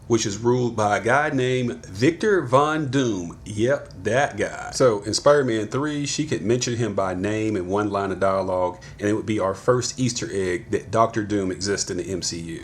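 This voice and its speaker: Deep voiced guy casually narrating his fan movie plot, easygoing. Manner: easygoing storyteller, acts out characters. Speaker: channel host.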